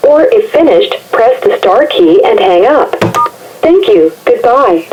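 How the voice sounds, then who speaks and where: A woman's voice: on the high side, sing-song, unhurried. A woman reading scripted menu prompts as an automated voicemail system over a telephone line. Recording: dull, noisy telephone line playback.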